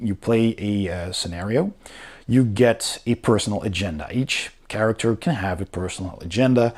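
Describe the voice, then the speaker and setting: A man with a medium-pitched voice talks through a product in an informal review style. He explains things step by step, with some hesitation, like a hobby reviewer speaking off the cuff. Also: a little mellow. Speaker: board game reviewer.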